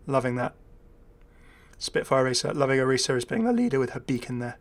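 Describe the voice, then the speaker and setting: A man's voice: mid-range voice, big pitch swings, fast. A man giving casual, enthusiastic commentary on a game update in a chatty way. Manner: chatty, enthusiastic, readily amused. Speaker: YouTube gaming creator.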